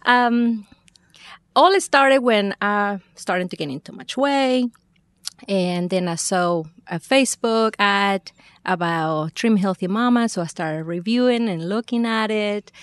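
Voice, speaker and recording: A woman's voice: mid-range voice, unhurried, dry recording. Mexican-accented woman guest, chatty. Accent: Mexican Spanish. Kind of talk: casual chat.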